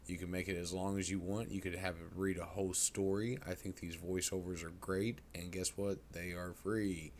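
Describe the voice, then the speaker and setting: A man with a low voice talks through a software tutorial in a casual, folksy way. He sounds like an informal presenter at his computer, with some noise behind him. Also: little pitch movement, moderate pace, few pauses. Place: indoors at a computer. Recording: clear background noise.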